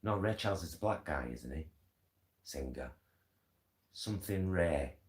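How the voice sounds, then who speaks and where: British male vlogger, lowish voice, unhurried hesitant rambling chat, echoey indoor sound. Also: expressive. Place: indoors at home. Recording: echoey room.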